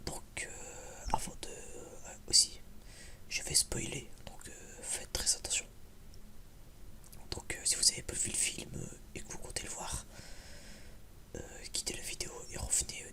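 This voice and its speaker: A man's voice: normal speed, long pauses. A man whispers a casual ASMR film review in a hesitant, rambling, informal way. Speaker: video creator giving a film review.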